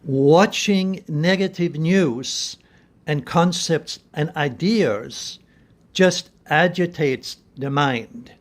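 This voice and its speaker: Man in his seventies, unhurried pace, slightly high pitch, earnest, pausing, reflective spiritual talk, phone recording indoors. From Sweden. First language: Swedish. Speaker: spiritual vlogger. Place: small room indoors. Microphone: phone at arm's length.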